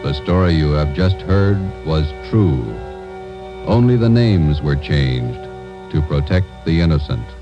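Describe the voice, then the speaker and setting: A man's voice: a bass voice, lively intonation, unhurried. An American man announcing from a script in dramatic 1950s radio style. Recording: dull vintage radio recording, loud music.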